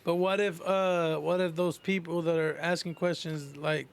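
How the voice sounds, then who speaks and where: A man's voice: on the high side, little pitch movement, normal speed, creaky. A man in casual podcast banter, questioning things in a slangy way. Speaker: podcast co-host.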